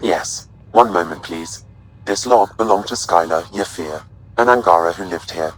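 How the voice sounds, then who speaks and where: Very low, clinical AI assistant. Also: male voice, low-quality recording. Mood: clinical, calm. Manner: measured, analytical. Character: calm, clinical artificial intelligence assistant, male. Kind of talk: video game dialogue.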